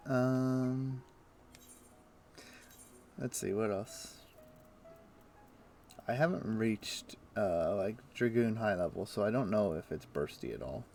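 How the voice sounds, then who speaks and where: A man with a low, gravelly voice talks loosely while he plays, trailing off and searching for words as he goes. Soft game music sits faintly behind him. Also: slow pace, pauses often. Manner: relaxed, rambling, thinks aloud with fillers. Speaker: game streamer. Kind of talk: casual gameplay chat.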